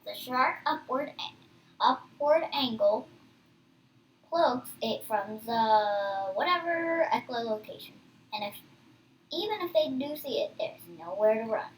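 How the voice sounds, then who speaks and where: High-pitched child reader, a bit far off. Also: fairly bright tone. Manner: halting, stumbling over hard words. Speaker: child reading a comic book aloud. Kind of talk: read-aloud with casual asides.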